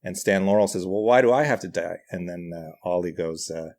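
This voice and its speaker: A middle-aged man with a deep voice speaks as a guest in a relaxed conversation. He is self-deprecating and hesitant, often circling back as he tells a personal story. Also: brisk. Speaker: podcast guest, writer and comedian. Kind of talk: casual conversational anecdotes.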